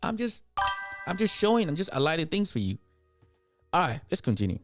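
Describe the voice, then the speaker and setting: A man's voice: a little high, sing-song, a little fast, over music. A man with a Nigerian accent hosting a commentary show, talking straight to his viewers, emphatic and repeating his points to drive them home. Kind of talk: reaction commentary, addressing viewers.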